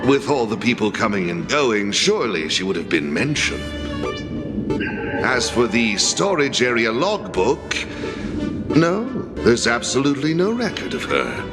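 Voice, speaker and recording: A wry, theatrical gentleman from a video game. His pitch swings very widely while he takes his time over each phrase. Music plays behind him. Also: sing-song. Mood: thoughtful. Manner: wry, dramatic, measured. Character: well-connected gentleman, wary, wry and theatrical. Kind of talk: video game character voice acting.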